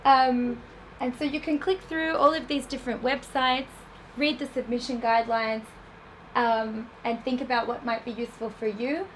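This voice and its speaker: A woman with an Australian accent giving an explanatory festival talk to an audience, pausing often with ums. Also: on the high side, steady tone, slight background noise, poor audio. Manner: explanatory, with frequent ums and restarts. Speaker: film festival industry program head. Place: festival venue before an audience.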